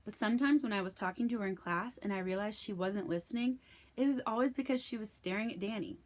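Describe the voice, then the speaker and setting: A woman giving an expressive scripted reading of a children's novel as narrator. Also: mid-range voice, quick pace. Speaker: storybook reader.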